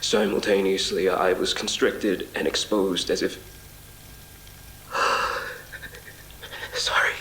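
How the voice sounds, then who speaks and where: A man acting in an audio drama as a shaken, apologetic survivor, haltingly recounting an otherworldly ordeal in a hushed, reflective monologue. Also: deep voice, level tone, slight background noise, heavily acted. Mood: pained, struggling. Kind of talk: intimate first-person audio drama monologue. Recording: roomy echo with music bed. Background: music.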